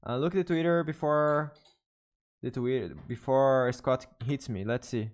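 Young Brazilian man streaming in halting English. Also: medium pitch. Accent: Brazilian Portuguese. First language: Portuguese. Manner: hesitant, self-correcting non-native English. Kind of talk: casual live-stream chat with viewers. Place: bedroom streaming setup indoors. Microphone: studio mic on desk with headphones.

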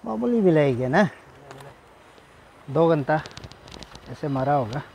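A man's voice: a little high, animated, takes it slowly, creaky, background noise. A man, chatting casually to camera as a vlogger, repeating himself and often exclaiming. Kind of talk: casual vlog narration to camera. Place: outdoors by a jungle river in the rain.

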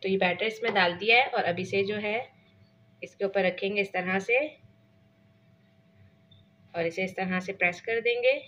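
A woman's voice: medium pitch, steady tone, normal speed, long pauses, fairly bright tone. A woman hosting a cooking channel, talking casually step by step through a home recipe in her kitchen, with a Pakistani Urdu accent. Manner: chatty step-by-step explaining, frequent filler phrases.

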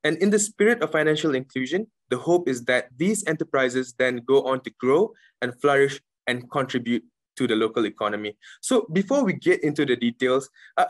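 A middle-aged man moderating an online panel over a video call, asking measured, formal questions. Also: mid-range voice, dry recording. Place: home room on a video call.

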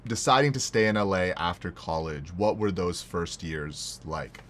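Deep-voiced man hosting a casual interview. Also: animated, normal speed. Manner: checks in often with "right?" and "you know". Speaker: interview host.

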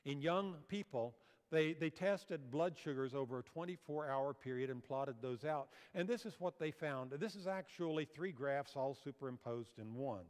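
A man's voice: medium pitch, normal speed, darkish tone, smooth. An older man giving a health seminar lecture on a church auditorium stage, explaining slides and asking the audience questions. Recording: long hall reverb.

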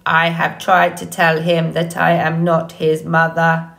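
A woman's voice: low-pitched, monotone, vocal fry, a bit bright, heavily acted. A woman playing a friendly female robot in an audiobook story, speaking with formal, earnest politeness. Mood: polite, unsure. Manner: friendly, measured, formal without contractions.

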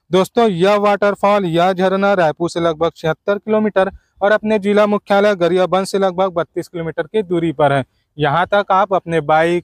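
A man's voice: high-pitched, no room sound, clean studio sound. A man from Chhattisgarh in India, narrating a scripted travel guide and addressing viewers directly as friends.

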